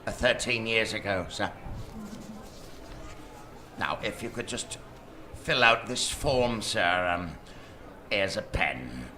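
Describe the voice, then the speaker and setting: A man playing a gnarled, creepy desk clerk in a live radio comedy-horror, halting and obsequious. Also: on the low side, lively intonation, pushed and bright, exaggerated voice. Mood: halting, apologetic. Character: gnarled, creepy, slow-witted male hotel desk clerk. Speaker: actor. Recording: live stage recording, background noise.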